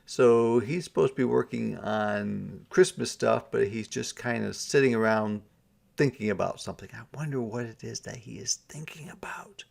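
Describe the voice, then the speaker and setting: A man reading a children's storybook aloud as a teacher, deliberately explaining words and events as he goes. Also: mid-range voice, vocal fry, a little mellow.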